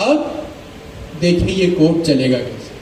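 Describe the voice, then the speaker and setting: A man's voice: a little high, animated, measured pace, a little mellow, a little hiss or hum. A man teaching a programming class, asking questions and answering them himself. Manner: asks questions and answers them himself, mixing English code terms. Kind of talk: live coding class lecture. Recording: echoey room, mic far off.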